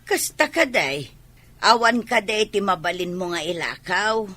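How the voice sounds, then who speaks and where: Husky, bright actress as sensible village woman. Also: mid-range voice, lively intonation, measured pace, no room sound. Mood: sympathetic. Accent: Ilocano. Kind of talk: Ilocano barangay comedy drama acting.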